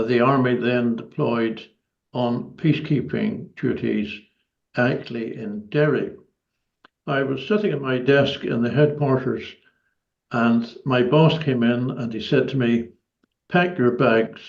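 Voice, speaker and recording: An elderly man with a Northern Irish accent recounting his personal history as a guest, in a measured, articulate way. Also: mid-range voice, gravelly, darkish tone, muffled recording. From Northern Ireland. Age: 70s or older. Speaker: interview guest, former army officer.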